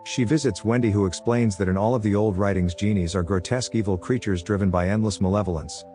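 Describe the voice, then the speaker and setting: A man's voice: on the low side, fast, mellow, soft background music. A man reading a scripted film recap as narrator, with flippant, sardonic asides.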